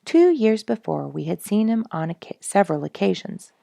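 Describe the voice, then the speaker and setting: A woman reading a nature storybook aloud in an expressive, measured, dramatic storytelling manner. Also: medium pitch, vocal fry, no room sound. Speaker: audiobook reader and show host.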